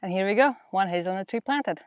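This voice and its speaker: A woman's voice: medium pitch, lively intonation, quick pace, a little hiss or hum. A woman from Latvia with a Latvian accent, talking casually and explaining things as a homesteading vlogger. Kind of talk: casual explanatory vlog talk.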